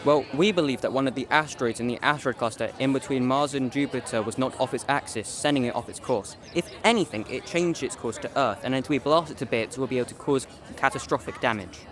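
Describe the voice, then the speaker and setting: A man's voice: mid-range voice, fast talker, few pauses, a crowd in the background, natural voice. A young man playing a wordy, formal military commander being interviewed in a drama. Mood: authoritative. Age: young adult. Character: measured male military commander, formal and authoritative. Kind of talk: interview acting in youth drama.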